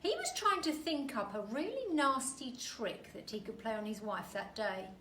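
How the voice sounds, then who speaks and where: A woman reading a children's story aloud like a teacher, expressively and with deliberate, dramatic emphasis. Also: fairly high pitch, big pitch swings, sharp tone. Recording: roomy echo, distant mic, faint noise.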